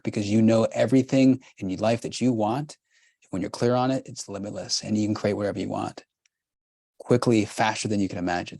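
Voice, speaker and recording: A man's voice: on the low side, darkish tone, dry recording. A middle-aged man hosting a workshop, coaching the group in an emphatic, urging way with lots of repetition.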